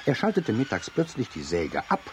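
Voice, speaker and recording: A man's voice: fairly low pitch, wildly swooping pitch, normal speed, a little hiss or hum. A man narrating a children's radio play as a storyteller. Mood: storytelling.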